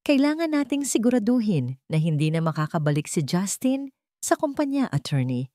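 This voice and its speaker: A woman's voice: mid-range voice, big pitch swings, normal speed, mellow, dry recording, studio quality, natural voice. A Filipino woman voicing a stern, resolute wealthy matriarch with a firm, formal manner in an audio story.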